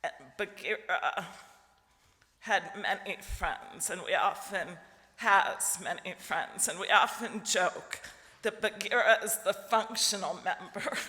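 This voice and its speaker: Slow, bright-voiced congregant from Lithuania. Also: medium pitch. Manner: chuckles often, unhurried, heartfelt. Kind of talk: sharing at a church service. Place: church sanctuary. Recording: long church reverb.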